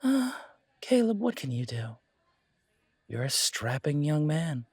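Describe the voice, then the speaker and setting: A chirpy male fantasy shopkeeper in an audio roleplay, prim, polite and fluttery. The pitch sits high and swoops about, with little stammers, in a dry, close recording. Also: big pitch swings, slow, breathy edge, no room sound, full character voice. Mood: playful, genteel. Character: fussy, chirpy pointy-eared male shopkeeper, cheerful optimist.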